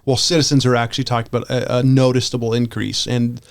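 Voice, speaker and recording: A man's voice: medium pitch, flat delivery, fast talker, creaky. A man co-hosting a podcast in casual chat, rambling along in an informal, enthusiastic way. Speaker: podcast co-host.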